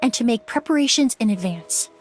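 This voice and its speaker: A woman playing a composed young perfumer in a video game, measured, articulate and earnest as she explains. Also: on the high side, expressive, natural voice. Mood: firm, accusing. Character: composed young female perfumer, earnest, firm former apprentice. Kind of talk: dramatic video game voice acting. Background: background music.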